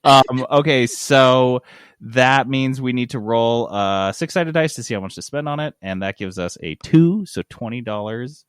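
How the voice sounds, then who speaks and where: A man's voice: mid-range voice, unhurried, smooth, no echo, studio quality. A man chatting casually as a gaming podcast host, with loose, irreverent, sweary talk. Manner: profane, irreverent.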